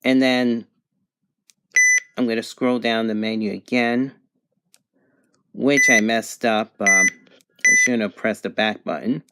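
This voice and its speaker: A person giving a casual product review and demonstration in a halting, hesitant way. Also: medium pitch, steady tone, pushed and bright. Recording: clear background noise, amateur home recording.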